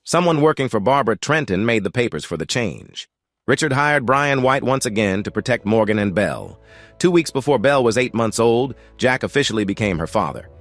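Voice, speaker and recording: A man narrating an audio drama in a steady, measured storytelling voice on a close mic. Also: medium pitch, faint music behind.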